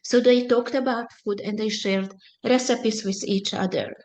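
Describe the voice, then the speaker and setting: A woman in her seventies whose first language is Hungarian, giving a slow, deliberate personal testimony as a presenter at a support group. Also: mid-range voice, steady tone, a little mellow. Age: 70s.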